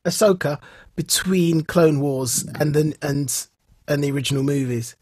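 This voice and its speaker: Man, husky voice, dry close sound, casual podcast co-host, enthusiastic, emphatic. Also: on the high side, measured pace, a little mellow, dry recording. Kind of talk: casual chat, reviewing TV shows.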